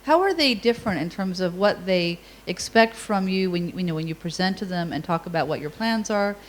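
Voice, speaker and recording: Low-pitched woman asking direct questions. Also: animated, brisk. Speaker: interviewer. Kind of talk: business interview questions.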